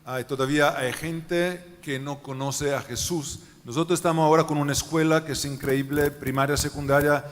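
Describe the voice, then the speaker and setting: Missionary guy answering questions at church, kinda high voice, earnest, lots of hall echo. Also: fairly even intonation, normal speed, hardly any pauses. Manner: earnest, emphatic lists, quiet falling endings. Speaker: missionary guest. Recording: long church hall reverb.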